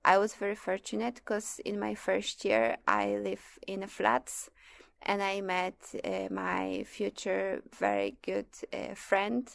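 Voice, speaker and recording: A woman in her twenties with a Polish accent and Polish as her first language. Her voice sits at an average pitch and carries a strong gravelly creak. She talks conversationally, telling personal stories as a guest being interviewed. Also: slow. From Poland. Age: 20s. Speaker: interview guest, PhD student.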